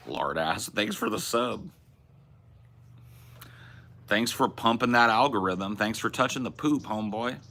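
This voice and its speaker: A man with a deep, bright and forceful voice chats loosely on a livestream. He rambles and swears as he answers viewers, stumbling and restarting mid-thought, and talks. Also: lively intonation, slow, creaky, pauses often. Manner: rambling, profane, restarts and self-corrections. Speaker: streamer host. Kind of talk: casual livestream chat reading viewer messages.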